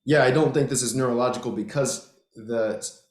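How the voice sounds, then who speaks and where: A man in his early thirties, a guitar student, talks agreeably in a mid-pitched voice. His replies are mostly short and affirming. The sound has a roomy echo. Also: brisk, a little mellow. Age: 30s. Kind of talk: one-on-one consultation.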